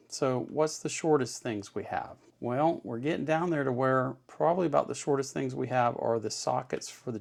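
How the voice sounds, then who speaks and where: A man's voice: medium pitch, creaky, a little mellow. A man giving an informal hands-on tutorial as host, in a folksy, reassuring way, repeating his points plainly. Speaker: host of an electronics kit-building tutorial.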